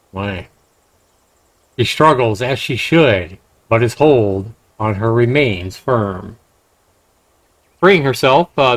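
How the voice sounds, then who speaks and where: A man's voice: mid-range voice, no echo, low-quality recording. Dry-sounding man reading aloud on stream. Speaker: livestream host. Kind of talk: reading a game's narration aloud with casual commentary.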